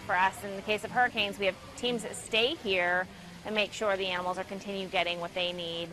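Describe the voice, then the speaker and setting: A zoo staff member speaking plainly in a news interview soundbite. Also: female voice, medium pitch, steady tone, brisk, background noise.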